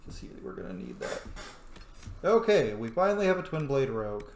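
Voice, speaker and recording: A man's voice: medium pitch, sing-song, a little fast, darkish tone. A man giving casual, sweary, opinionated commentary while playing through a roleplaying game. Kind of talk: casual reactive playthrough commentary. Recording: roomy sound, faint game noise behind.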